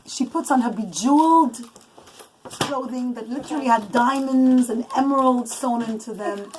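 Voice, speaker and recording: A woman telling a bedtime story to children as a mother, improvising in a dramatic, emphatic way with asides to the listeners. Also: a little high. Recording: noisy home recording with clicks. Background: young children chattering.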